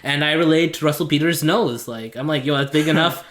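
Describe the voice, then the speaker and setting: Young man, podcast host, bright tone, roomy echo, stumbling casual talk. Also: on the high side, brisk, slight background noise. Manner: swears freely, laughs often, stumbles and repeats words. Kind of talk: casual chat. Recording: echoey room.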